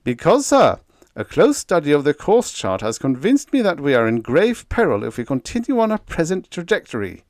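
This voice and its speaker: A man voicing a mild, polite young lieutenant who speaks gently and calmly. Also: on the high side, moderate pace, no room sound, clean studio sound, pitched above the performer's own voice.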